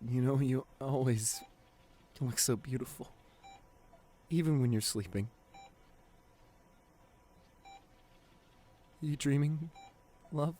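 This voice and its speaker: A man acting a devoted, guilt-ridden boyfriend at a bedside in an intimate ASMR roleplay, speaking tenderly and haltingly with a lot of emotion. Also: mid-range voice, dark tone, lightly acted. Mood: tender, hushed. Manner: tender, halting, emotional delivery with repeated phrases.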